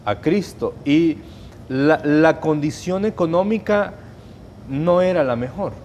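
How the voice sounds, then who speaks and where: Salvadoran man giving measured testimony. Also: fairly high pitch, darkish tone, slight background noise. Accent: Salvadoran Spanish. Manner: measured, hesitant, with restarts and fillers. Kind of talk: personal testimony in an interview.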